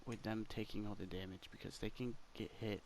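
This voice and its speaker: A man giving an ASMR game walkthrough voiceover in a halting way, with long hesitations. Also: fairly low pitch, steady tone, slight background noise, low-quality recording. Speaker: gaming video host. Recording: loud game music behind the voice. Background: game music and character dialogue.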